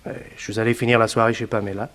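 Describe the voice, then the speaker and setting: An actor playing an evasive, haughty suspect in a 1960s French radio drama, glib, dismissive and defensive. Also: male voice, fairly low pitch, flat delivery, very fast, a bit bright, natural voice. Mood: guarded. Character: evasive, haughty male suspect, indebted man about town.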